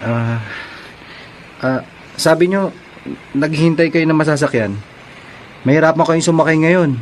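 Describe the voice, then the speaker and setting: An actor playing a polite, earnest old flame in a radio drama, courteous and a little hesitant. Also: male voice, a little high, measured pace, slight background noise, close to an everyday voice. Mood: tentative. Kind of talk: Filipino radio drama acting.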